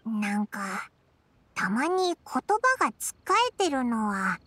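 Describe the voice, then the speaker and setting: An actress playing a tiny, cheeky floating fairy companion in a Japanese video game, squeaky and childlike. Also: female voice, sing-song, unhurried, sharp tone, husky, exaggerated voice. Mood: cheeky, puzzled. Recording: music behind the voice.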